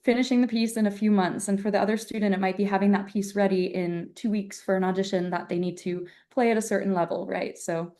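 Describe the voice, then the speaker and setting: A young woman from Germany speaks as a podcast guest with a mellow, warm tone. She explains her teaching in a thoughtful, measured way, often softening points with "kind of". Her pitch sits in a middle range. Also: fairly even intonation. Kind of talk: podcast interview.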